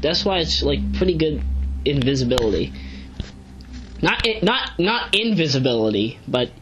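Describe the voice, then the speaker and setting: A woman giving casual, unscripted commentary as an online reviewer, rambling and halting as she corrects herself. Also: deep voice, sing-song, background noise. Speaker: online video reviewer and narrator. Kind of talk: casual unscripted commentary recapping a web series.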